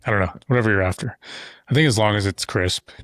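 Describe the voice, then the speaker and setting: A man's voice: lowish voice, fast, fairly bright tone, dry recording. A man hosting a podcast in a casual conversation, talking at length with loose asides on a close studio mic. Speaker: podcast host. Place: insulated shop-building studio.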